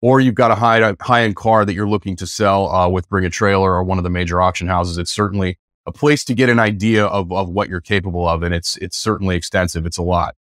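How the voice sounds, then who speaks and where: A man's voice: sits low, fairly even intonation, fast, few pauses, a bit bright, no room sound, studio quality. A man in his forties hosting an interview over a video call, often repeating words as he starts his questions. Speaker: show host. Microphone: video call webcam.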